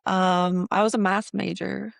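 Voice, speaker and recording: Woman from Iran, dentist guest, casual interview talk, unhurried pauses, gravelly voice, dry sound. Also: medium pitch, no room sound. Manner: relaxed, many "um" pauses.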